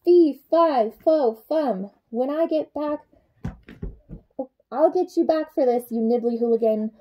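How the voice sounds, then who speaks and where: A woman's voice: sits high, takes it slowly, dull audio. Woman putting on a grumpy, blustering storybook giant. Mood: indignant, threatening.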